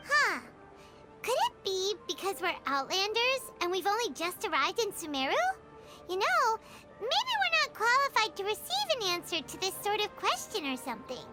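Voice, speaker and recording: A woman playing a small, excitable, childlike fairy companion in a video game, with a squeaky voice and an exclamatory manner. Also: moderate pace. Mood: puzzled. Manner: refers to self in third person, exclamatory. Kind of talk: video game character acting. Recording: background music throughout.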